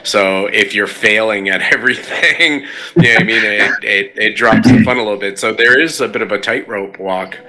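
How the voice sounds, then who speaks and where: A man's voice: lowish voice, moderate pace, over a noisy crowd. A man hosting a casual chat, talking informally and often checking for agreement. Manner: informal, seeks agreement with frequent "right?".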